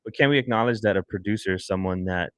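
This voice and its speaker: Low-voiced man, dry close sound. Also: fast talker, a bit bright, no echo. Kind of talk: casual podcast discussion. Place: indoor podcast studio. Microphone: close studio broadcast mic.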